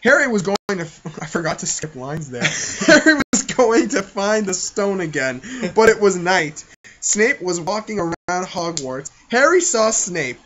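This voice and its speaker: Giggly, high-pitched man reading aloud. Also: very animated, a little slow, fairly bright tone. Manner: giggly, self-mocking, foul-mouthed. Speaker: podcast host. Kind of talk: reading own childhood fan fiction aloud with casual banter. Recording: muffled, noisy home podcast recording.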